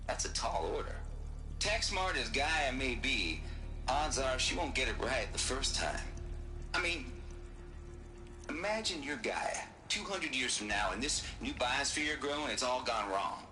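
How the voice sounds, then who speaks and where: A man's voice: mid-range voice, big pitch swings, a little slow. An actor playing a wry male scientist giving a folksy, lecturing recorded message in a video game. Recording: game audio over music.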